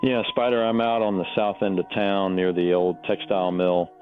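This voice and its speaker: Man acting uneasy local caller, very low voice, phone-like sound, plain, descriptive. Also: little pitch movement, moderate pace, soft background music, natural voice. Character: uneasy local man reporting what he saw. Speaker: radio caller. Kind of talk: radio call-in testimony in audio drama. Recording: tinny, phone-like narrow sound.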